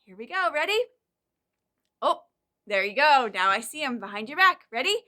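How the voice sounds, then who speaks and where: Female children's storytime leader, bright and high, encouraging delivery aimed at little ones. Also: moderate pace. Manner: animated, encouraging, speaks directly to young children. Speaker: children's librarian leading storytime. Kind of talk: children's storytime with singing and reading aloud.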